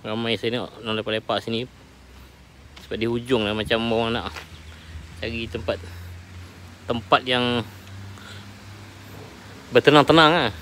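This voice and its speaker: Casting: inquisitive Malaysian food vlogger, medium-pitched voice, casual chatty commentary over light cafe noise. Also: steady tone, a little slow, long pauses, fairly bright tone. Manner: chatty, inquisitive, repeats answers back. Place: walking outside and inside a roadside cafe. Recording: noisy handheld recording with cafe ambience.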